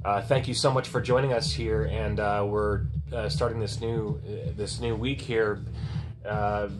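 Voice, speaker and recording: A man's voice: on the low side, fairly even intonation, noisy background. A man co-hosting a film podcast, chatting casually and stopping often with fillers and restarts. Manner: halting, many fillers and restarts. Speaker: podcast co-host. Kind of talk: casual chat about a TV show.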